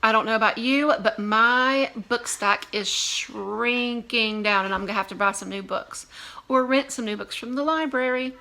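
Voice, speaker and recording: A woman English teacher giving a video lesson to young students in an animated, conversational way with emphatic repetition. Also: fairly high pitch, a little hiss or hum.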